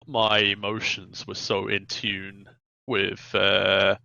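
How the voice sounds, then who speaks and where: Male podcast co-host, bright and gravelly, halting casual delivery, on a dry, slightly dull mic. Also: on the low side, completely flat delivery, dry recording. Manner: halting, repeats words while searching for phrasing. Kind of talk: casual video game discussion.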